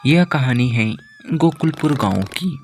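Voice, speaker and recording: A man's voice: mid-range voice, animated, unhurried, darkish tone, a little hiss or hum. A man narrating a scripted village moral story as its storyteller.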